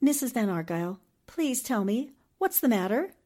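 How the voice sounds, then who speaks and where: Woman playing a higher-pitched, poised newspaperwoman. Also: sing-song, normal speed, dark tone. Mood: concerned. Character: shrewd, sympathetic young newspaperwoman. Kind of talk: 1940s-style radio drama acting.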